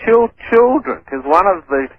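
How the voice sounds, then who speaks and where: A man's voice: sits high, big pitch swings, normal speed, narrow, tinny audio, slight background noise. A man who stutters, a guest on a phone-in radio interview, explaining at length and often repeating words and restarting. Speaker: guest researcher. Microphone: telephone line.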